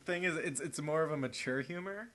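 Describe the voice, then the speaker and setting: A man's voice: on the high side, brisk, fairly bright tone, slight background noise. A young man in casual podcast conversation, talking in a joking, teasing way. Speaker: podcast participant. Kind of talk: casual chat.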